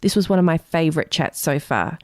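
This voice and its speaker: Lower-pitched woman hosting a podcast interview. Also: animated, fairly quick, a little mellow. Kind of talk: conversational interviewing.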